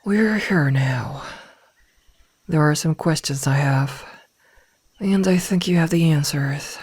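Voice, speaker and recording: A woman playing a possessive werewolf from a pack, smitten yet threatening, with deliberate, partly whispered delivery in an ASMR roleplay. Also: sits low, darkish tone, breathy edge, heavily acted. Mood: guarded, commanding. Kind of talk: ASMR roleplay audio drama. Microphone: close, dry microphone.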